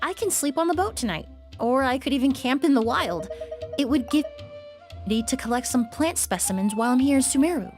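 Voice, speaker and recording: A calm, knowledgeable young perfumer with a slightly high voice and lively intonation. She reasons things through in a precise, polite way, and game music is clearly heard behind her. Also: brisk.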